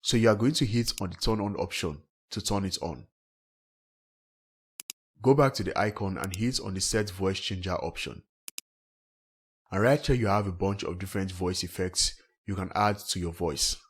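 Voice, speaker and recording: Deep, husky man narrating a tutorial. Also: moderate pace, long pauses. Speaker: tech tutorial host. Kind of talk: scripted step-by-step software tutorial narration.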